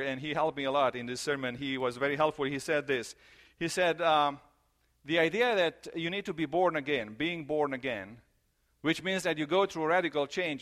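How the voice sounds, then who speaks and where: A man preaching a sermon in English, his second language, earnest and repeating key phrases, slowing down for emphasis. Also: a little high, a bit bright. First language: not English. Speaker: pastor.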